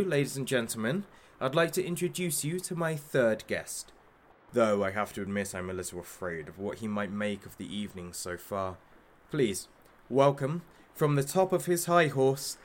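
An actor playing a masked hostage-taker who runs a talk show, gleefully cruel, sarcastic and showman-like, in an audio drama. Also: mid-range voice.

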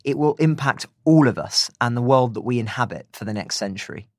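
A man presents news analysis in an articulate, earnest voice, quoting and commenting as he goes. His pitch sits in the middle, and the sound is dry and close, with almost no room in it. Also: expressive, brisk, no room sound. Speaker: podcast host.

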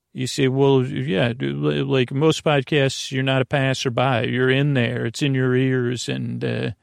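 A man with heavy vocal fry hosts a sleep podcast in a dry, close sound. He rambles to listeners at bedtime, hesitating and doubling back on himself. His sentences wander off into digressions and restart in a gravelly murmur. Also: mid-range voice, dry recording. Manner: meandering, hesitant, self-interrupting, digressive.

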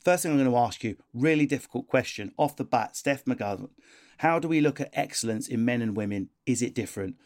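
A man's voice: medium pitch, lively intonation, a little fast. Cheeky man hosting a podcast. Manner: cheeky, playful, jokey asides. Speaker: podcast host. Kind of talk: comic podcast chat.

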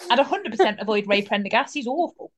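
Cheeky, high-pitched British woman. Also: animated, quick pace. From Britain. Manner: blunt, cheeky. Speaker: podcast co-host. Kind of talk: casual fan chat.

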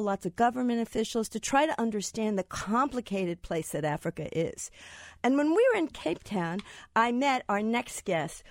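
A woman's voice: a little high, dry recording, studio quality. A woman hosting a radio interview, talking in an easy conversational way and asking her guest follow-up questions. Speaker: radio show host and business professor.

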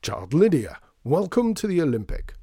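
A booming storybook shopkeeper acted by a man, all forced jollity and flourish. His pitch rises and swings widely as he proclaims, in a close, dry recording. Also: wildly swooping pitch, normal speed, vocal fry, warm tone, breathy edge, no room sound, exaggerated voice. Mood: forced cheer. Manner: bellowing, theatrical flourish, forced cheer. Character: cheerful blustering shopkeeper man, big-bellied, forcedly jolly, booming. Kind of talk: audiobook storytelling.

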